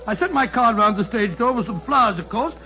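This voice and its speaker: English gentleman sidekick, high voice, eager, effusive, genial, 1940s radio drama, muffled sound. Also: animated, brisk. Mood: smitten. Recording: dull old radio recording.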